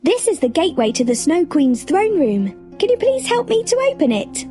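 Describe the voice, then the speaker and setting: A woman's voice: very high-pitched, very animated, normal speed, dark tone, faint music behind. A woman playing a sweet, devoted young girl heroine in a storybook game, speaking in an earnest, tender way. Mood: earnest, hopeful.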